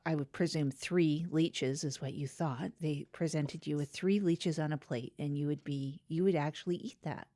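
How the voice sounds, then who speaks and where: Low-pitched woman hosting a comedy podcast. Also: steady tone, normal speed, few pauses, mellow, no echo, studio quality. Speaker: podcast host. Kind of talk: improvised comedy podcast banter.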